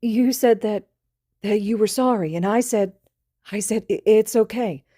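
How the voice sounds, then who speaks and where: A woman's voice: medium pitch, a little slow, dark tone, no echo, clean studio sound, pitched above the performer's own voice, natural voice. A woman voicing a giddy, intoxicated teenage boy student who giggles and rambles in a storybook reading. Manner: giggly, rambling, sing-song. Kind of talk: fan fiction read aloud.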